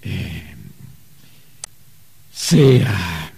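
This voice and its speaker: An actor playing a fussy, flustered archangel bureaucrat in a radio drama, pompous and hesitant. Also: male voice, low-pitched, expressive, drawn-out words, vocal fry, warm tone, breathy edge, full character voice. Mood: officious, measured. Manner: hesitant, pompous, hemming and hawing. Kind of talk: Spanish-language radio drama acting.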